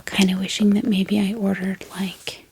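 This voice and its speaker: A woman talks in a hushed, breathy whisper with a crackly fry running through it. Her delivery is casual and gentle, with unhurried pauses as if showing items to a viewer in an ASMR video. There is faint noise and light clicking in the recording. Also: mid-range voice, flat delivery. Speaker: ASMR book haul vlogger. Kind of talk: soft-spoken whispered ASMR, casual and unscripted.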